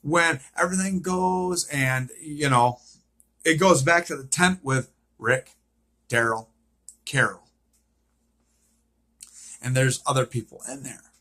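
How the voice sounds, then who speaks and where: A man's voice: mid-range voice, takes it slowly, pauses often, sharp tone. A man giving an unscripted, casual recap of a TV series, repeating himself and often checking in with a questioning okay. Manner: repetitive, checks in with frequent "okay?".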